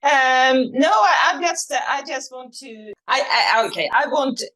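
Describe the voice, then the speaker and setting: A woman from Sweden with a Swedish accent, a native Swedish speaker, talking as a guest coach in a halting way, with many restarts and fillers. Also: high voice, expressive, a bit bright. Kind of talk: casual interview.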